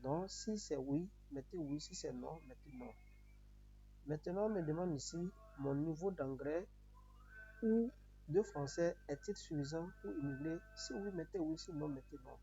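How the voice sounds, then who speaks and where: A man's voice: fairly high pitch, moderate pace, mellow, soft background music. A man from Benin with a Beninese accent, a native Yoruba speaker, giving a step-by-step tutorial in repetitive, instructional phrasing. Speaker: tutorial presenter. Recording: muffled audio with noticeable background hum.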